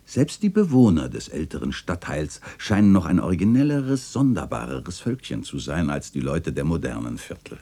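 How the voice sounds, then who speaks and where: Very deep, husky old chronicler. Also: male voice, big pitch swings, mellow, full character voice. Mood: fond, nostalgic. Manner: measured, lyrical, contemplative delivery. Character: wistful old male chronicler reminiscing about his beloved alley. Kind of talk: literary radio play narration.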